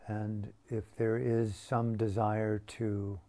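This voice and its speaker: A man with a low, mellow voice teaches a guided meditation. He speaks very slowly and deliberately in short phrases, leaving long pauses. His delivery is hushed. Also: little pitch movement.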